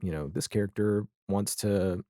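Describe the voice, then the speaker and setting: A man's voice: lowish voice, moderate pace, warm tone, no room sound, clean studio sound. A man chatting casually as an interview guest, wry and opinionated. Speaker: podcast guest, audio drama creator. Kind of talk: casual interview chat about television.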